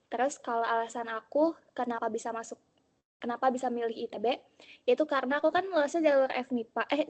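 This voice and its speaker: A young woman student from Banten, Indonesia, giving a casual, hesitant self-introduction, with a non-standard R. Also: fairly high pitch. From Pandeglang, Banten, Indonesia. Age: young adult. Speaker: university student introducing herself. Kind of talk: informal self-introduction on a group call.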